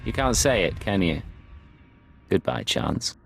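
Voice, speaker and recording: A man voicing a cold, controlled secret agent in a video game, measured and clinical. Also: on the low side, expressive, vocal fry, a bit bright, breathy edge, full character voice.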